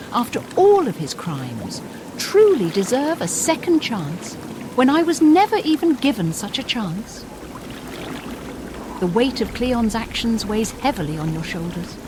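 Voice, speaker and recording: A woman performs a solemn, wounded female figure from a fantasy game. She speaks formally and accusingly, with swooping, expressive intonation. A clear wash of noisy ambience sits behind the voice. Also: a little high. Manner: formal, deliberate, rhetorical. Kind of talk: scripted fantasy game dialogue.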